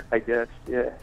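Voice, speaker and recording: A muffled, lo-fi phone recording of a man in casual conversation. His voice is mid-pitched and he speaks hesitantly, hedging as he goes, while faint music plays behind. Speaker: caller on a chat line. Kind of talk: casual chat. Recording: dull lo-fi phone call.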